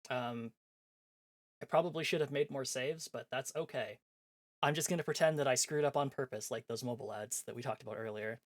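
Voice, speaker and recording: A man's voice: medium pitch, brisk. A man chatting casually on a game livestream in a rambling, self-deprecating way. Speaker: game streamer. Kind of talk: casual livestream chat, reading visual novel aloud. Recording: music behind the voice. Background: background music.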